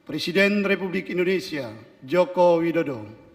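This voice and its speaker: A man in the role of military secretary, formally reading out an official decree in a solemn, deliberate way. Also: sits high, expressive. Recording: long hall reverb.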